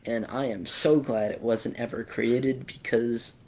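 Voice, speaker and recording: A man in a casual podcast chat, giving blunt opinions in a halting way and often repeating his phrases. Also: mid-range voice, fairly even intonation, darkish tone. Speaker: podcast host discussing films and games.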